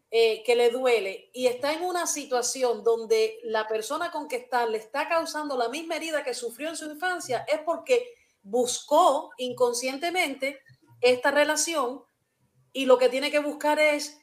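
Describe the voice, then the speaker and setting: A woman's voice: high voice, unhurried. A woman hosting a talk show, speaking earnestly and personally, often restarting her sentences. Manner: earnest, hesitant, with frequent restarts.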